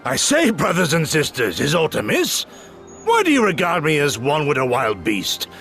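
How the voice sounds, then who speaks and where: An actor playing a boisterous old samurai general who declaims in archaic, theatrical speech for a video game. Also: male voice, fairly high pitch, wildly swooping pitch, normal speed, gravelly, a bit bright, soft background music, exaggerated voice. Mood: puzzled, booming reassurance. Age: older.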